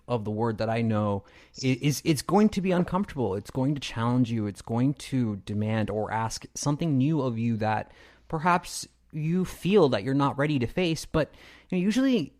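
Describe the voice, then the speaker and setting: A man's voice: mid-range voice, a little mellow. A man with a mid-range voice hosts a podcast interview. He talks in a conversational, reflective way, feeling his way through sentences and doubling back on words. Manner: halting, reflective, repeats words. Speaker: podcast host.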